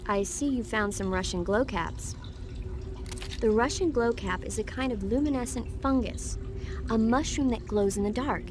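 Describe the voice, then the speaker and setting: A woman's voice: fairly high pitch, moderate pace, background noise, natural voice. Woman as an earnest, bookish game medic. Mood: patient, explaining. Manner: precise, explanatory, earnest. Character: earnest, bookish young female medic advising by radio. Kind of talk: video game voice acting.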